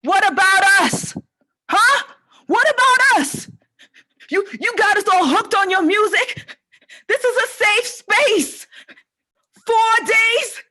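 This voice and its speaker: A woman's voice: extremely high pitch, expressive, slow pace, pauses often, pushed and bright, full character voice. A woman acting a comic monologue as a lonely, dramatic young woman, melodramatic and pleading, repeating herself.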